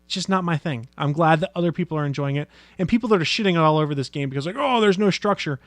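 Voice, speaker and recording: A man's voice: a little high, fast talker, no echo. A man hosting a geeky podcast, chatting casually and laughing easily. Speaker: podcast host.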